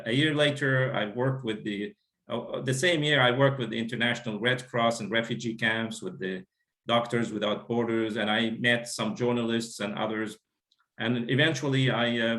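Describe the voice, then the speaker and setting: A man's voice: fairly low pitch, a bit bright. Man from Iraq, echoey room. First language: Arabic. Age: 50s. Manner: measured, halting with frequent fillers. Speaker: guest. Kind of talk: interview.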